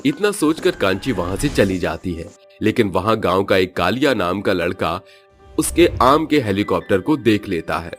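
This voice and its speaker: Low-voiced male story narrator. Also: moderate pace, faint music behind. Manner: steady, dramatic storytelling.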